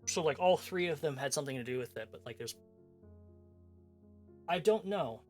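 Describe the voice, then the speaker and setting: A man's voice: on the high side, expressive, moderate pace, darkish tone. Chatty male game streamer, music behind. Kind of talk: casual livestream chat, reading visual novel aloud. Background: background music.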